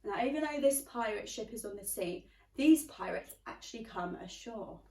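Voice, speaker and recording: A woman's voice: mid-range voice, lively intonation, moderate pace, creaky, dark tone. A woman teacher reading a children's storybook aloud, expressively addressing young listeners. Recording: roomy, slightly distant microphone.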